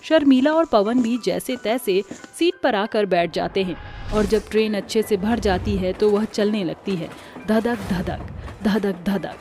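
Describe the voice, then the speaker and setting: A woman's voice: medium pitch, measured pace, a little mellow, soft background music. A woman narrating a scripted cartoon story as its storyteller.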